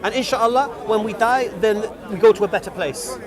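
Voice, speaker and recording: Man, forties, from England; pushy street preacher, high and rapid, crowd noise behind. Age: 40s. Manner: insistent, repeats himself, leading questions. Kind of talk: street debate.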